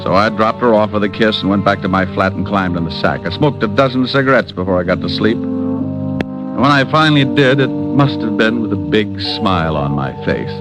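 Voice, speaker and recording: A man's voice: lowish voice, animated, moderate pace, loud music behind the voice, lightly acted, poor audio. An actor playing a wisecracking male private detective who narrates, in a 1940s radio drama. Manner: wisecracking, sardonic.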